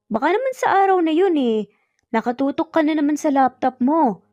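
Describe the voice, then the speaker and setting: Chummy, nagging young Filipino office pal played by a female storyteller, high-set voice, slightly muffled recording. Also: animated, moderate pace, darkish tone, no echo. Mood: breezy teasing. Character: breezy, teasing young male office buddy. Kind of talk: audio drama story dialogue. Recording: dull lo-fi audio, music behind.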